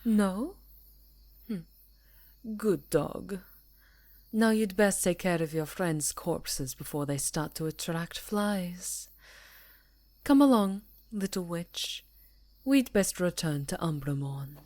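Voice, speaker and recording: A seductive vampire countess, performed by a woman, haughty and sure of herself. She purrs and teases with slow, poised condescension in a lowish voice, recorded dry for a fantasy ASMR roleplay. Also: expressive, darkish tone, no room sound. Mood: cool disdain.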